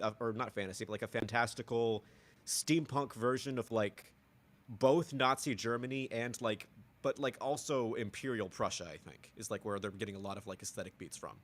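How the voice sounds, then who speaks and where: A man co-hosting a casual podcast, rambling through restarts and breaking into joke accents now and then. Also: mid-range voice. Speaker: podcast co-host.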